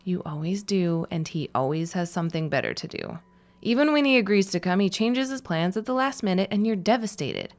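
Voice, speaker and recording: A woman's voice: on the low side, expressive, fairly quick. An actress playing a wry, realistic young woman in a period audio drama, dry and blunt, weary of family hopes. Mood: firm, bitter.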